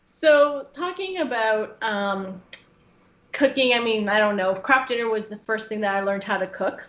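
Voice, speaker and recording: A woman's voice: a little high, moderate pace, a bit bright, echoey room, dull audio. A woman co-hosting a casual chat show over a video call, friendly and inquisitive. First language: Cantonese as second language. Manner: friendly and inquisitive, laughs lightly. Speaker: co-host.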